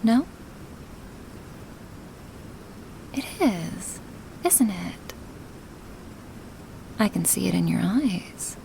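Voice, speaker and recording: A woman playing a huge, gentle and affectionate female dragon in an ASMR roleplay, speaking softly and intimately to the listener in formal wording, often slipping toward a whisper. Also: medium pitch, animated, slow, vocal fry, slight background noise, heavily acted, poor audio. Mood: coaxing, playful.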